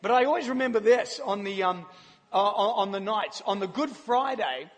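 Croaky-voiced man preaching. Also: high voice, animated, normal speed. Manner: chatty, self-deprecating, laughs often. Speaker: guest speaker. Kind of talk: casual, joking church talk. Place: large church hall. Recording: live church hall with long reverb.

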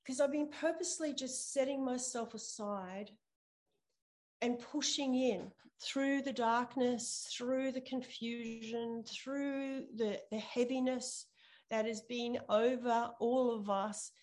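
A woman's voice: on the high side, fairly even intonation, slow pace, darkish tone. A woman pastor giving a sermon and prayer, speaking earnestly and repeating phrases for emphasis. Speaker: pastor preaching to a church.

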